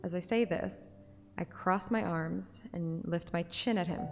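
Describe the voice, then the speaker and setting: A woman with a slightly low voice reads a story aloud as a streamer. Her delivery belongs to first-person audiobook narration, with room for casual asides. Music is clearly present behind her. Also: a little slow.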